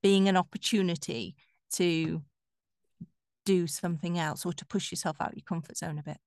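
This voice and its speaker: Yorkshire lady, guest on a chat, fairly low voice, relaxed and thinking out loud. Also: moderate pace, no room sound, studio quality. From Yorkshire, England. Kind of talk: casual interview conversation.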